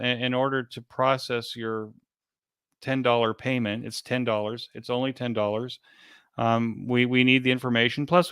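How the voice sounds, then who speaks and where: A man being interviewed as an event organizer, giving an informal step-by-step explanation in a halting, repetitive way. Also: mid-range voice, fairly bright tone, clean tone, no echo, studio quality.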